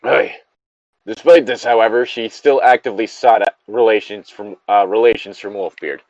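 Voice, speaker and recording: A man's voice: fairly low pitch, steady tone, moderate pace, a little mellow, no room sound, muffled recording. A man co-hosting a read-aloud with casual, sweary, self-deprecating commentary. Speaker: co-host reading a story aloud.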